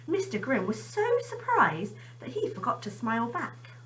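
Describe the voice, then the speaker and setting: A woman teacher speaks to children in an informal, storytelling way. Her voice is bright with lots of rise and fall, over a faint background hum. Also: a little high, big pitch swings, moderate pace, distant mic, low-quality recording. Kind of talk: informal greeting and expressive children's story reading.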